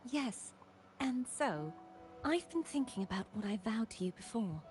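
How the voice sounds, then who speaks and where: A woman playing a gentle young knight in a video game, speaking in a measured, softly formal, wistful way. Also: a little high, big pitch swings. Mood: earnest. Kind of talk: scripted video game voice acting. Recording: game audio with music underneath. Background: music.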